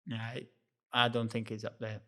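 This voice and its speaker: Bright, forceful tone from an Italian man in his thirties. He talks easily and chuckles between thoughts, his English a little uneven. He sounds relaxed in casual podcast chat. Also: mid-range voice, moderate pace. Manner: relaxed, laughs often, slightly broken English phrasing. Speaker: guest footballer.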